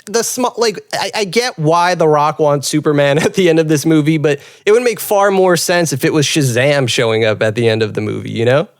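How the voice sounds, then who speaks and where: A man with a slightly high voice co-hosts a casual film podcast. He feels his way through his points in loose conversation with friends. The sound is dry, with no room around it. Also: fairly quick, hardly any pauses, no room sound. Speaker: podcast co-host.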